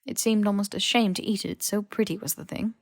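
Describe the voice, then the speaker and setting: A woman's voice: medium pitch, steady tone, fairly quick, no room sound, clean studio sound. A woman reading scripted fiction as an audiobook narrator.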